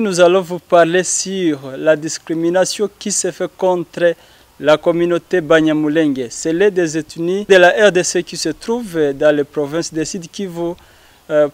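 A young man, hosting an interview programme into a handheld microphone. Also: on the high side. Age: young adult. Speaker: interview host. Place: outdoors by a house wall.

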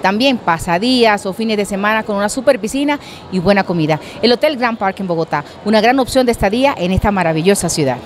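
A Dominican woman in her forties hosting a TV show, holding a handheld mic on an outdoor terrace at an event, effusive and quick to laugh. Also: mid-range voice, animated, slight background noise. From Dominican Republic. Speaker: TV show host. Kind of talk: lively event interview and promo reads.

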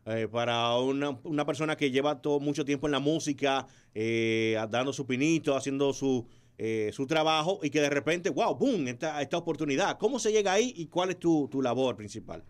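A man with a Dominican accent hosting a casual urban music interview on radio, effusive and quick to exclaim. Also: medium pitch. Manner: effusive, frequent exclamations of wow. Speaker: radio host. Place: small radio studio. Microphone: close studio microphone with headphones.

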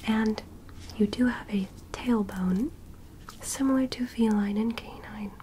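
A young woman playing a calm, curious female alien medical examiner in an ASMR roleplay, in a soft, breathy whisper with a gentle clinical manner. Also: fairly high pitch, a little hiss or hum, close to an everyday voice. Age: young adult. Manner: slow, gentle, clinical, politely instructing. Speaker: ASMR performer. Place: small bedroom set, indoors. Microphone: close microphone.

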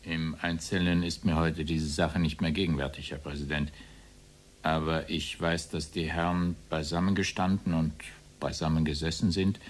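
An actor playing an evasive, deferential former SS officer testifying in formal, roundabout sentences in a radio play. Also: male voice, extremely low pitch, level tone, moderate pace. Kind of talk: radio play courtroom dialogue.

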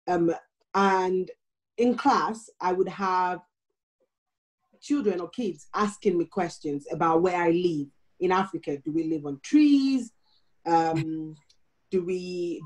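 A woman's voice: fairly low pitch, a little mellow. A woman from Nigeria with a Nigerian accent, speaking as a guest on a video call panel in an earnest storytelling way.

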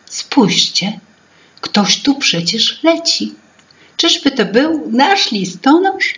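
A woman playing an eloquent, sunny fairy-tale sister with an elegant, drawn-out, charming way of talking. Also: high-pitched, very animated, a bit bright, voice pushed up, full character voice. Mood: curious. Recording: dull lo-fi home recording.

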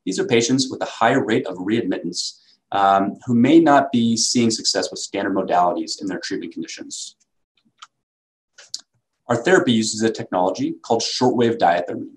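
Guy doing a sales slide talk, kinda low voice, explains stuff step by step. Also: low-quality recording. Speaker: company sales executive, presenter. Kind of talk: product presentation with slides.

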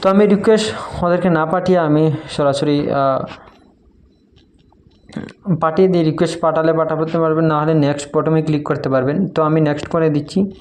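A man's voice: fairly high pitch, fairly even intonation, fairly quick, pauses often, darkish tone. A man from gives an informal step-by-step app tutorial, explaining repetitively and mixing in English tech terms. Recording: noisy home-made recording with clicks.

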